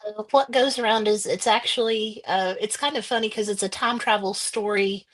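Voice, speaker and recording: A woman's voice: mid-range voice, moderate pace, poor audio. A woman guest author answering interview questions in a casual, hesitant way. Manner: hesitant, many ums.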